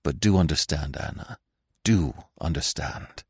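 An anxious middle-aged man in love, speaking low, hurried and hushed, as a male reader plays him. His voice is deep and dry, close to the microphone. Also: breathy edge, no echo, full character voice. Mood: hushed entreaty. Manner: hushed, hasty entreaty.

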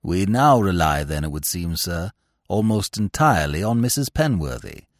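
A man voicing an imperturbable, deferential valet who speaks low, hushed and measured. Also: very animated, dry recording, studio quality, heavily acted. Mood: composed. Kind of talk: audiobook character voice.

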